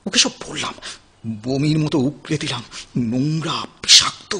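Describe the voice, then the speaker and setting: An actor playing a despairing, sickly young recovering addict in an audio drama, halting and stammering with melodramatic anguish, in a husky, gravelly voice. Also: male voice, a little high, sharp tone, exaggerated voice. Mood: haunted, bitter. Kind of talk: Bengali audio drama acting.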